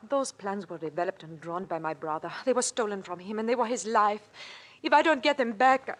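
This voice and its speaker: A woman's voice: mid-range voice, expressive, brisk, natural voice. A woman acts a young lady in an old-time radio drama. She is earnest and imploring, with a mid-range voice. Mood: pleading.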